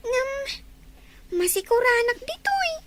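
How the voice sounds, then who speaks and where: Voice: very high voice, expressive, measured pace. A performer playing a bored, complaining child in an Ilocano audio drama, whiny and pleading, on a noisy recording. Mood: restless, whiny.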